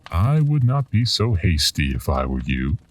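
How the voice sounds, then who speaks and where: Very deep, ominous storyteller. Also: male voice, sing-song, far from the mic, slight background noise. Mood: taunting, menacing. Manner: portentous, deliberate, taunting. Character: mysterious, ominous male storyteller collecting dark legends. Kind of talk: slow theatrical horror radio drama narration.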